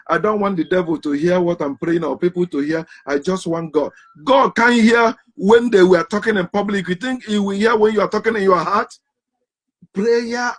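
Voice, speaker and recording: A man's voice: a little high, breathy edge. A man giving an impassioned, emphatic monologue on religion, hammering home rhetorical questions. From Africa. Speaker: commentator on religion.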